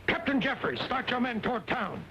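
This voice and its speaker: A dull, slightly noisy old western recording of an army colonel. He is pompous and hot-tempered, blustering out commands in a high, raised male voice. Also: sing-song, fairly quick. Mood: indignant. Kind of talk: 1940s western film acting.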